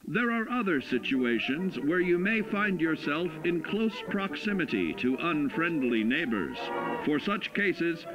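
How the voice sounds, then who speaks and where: An actor playing a cheery, patronizing narrator of 1950s-style corporate survival training cartoons, with a measured announcer delivery. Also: male voice, fairly high pitch, sing-song, lo-fi sound. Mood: upbeat, instructive. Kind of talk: 1950s educational film narration parody. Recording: band-limited old film-reel sound with music. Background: music.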